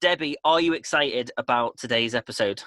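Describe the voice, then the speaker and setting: Bright-voiced upbeat male interview host. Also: medium pitch, fairly quick, no room sound. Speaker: podcast host. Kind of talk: casual interview chat.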